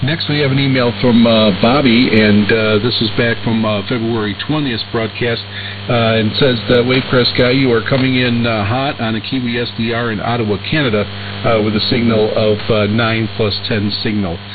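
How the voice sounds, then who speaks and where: A man hosting a hobby radio show, reading listener mail in a halting way with very frequent filled pauses, heard over shortwave radio. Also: medium pitch, fairly even intonation. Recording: hissy, humming narrow-band shortwave radio broadcast.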